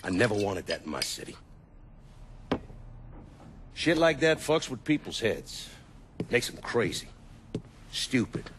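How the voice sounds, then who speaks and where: Coarse, low-voiced crime boss. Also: male voice, sing-song, unhurried, pauses often, background noise. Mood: gruff, businesslike. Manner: blunt, profane, threatening. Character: menacing middle-aged male crime boss, coarse and domineering. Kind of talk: video game cutscene acting.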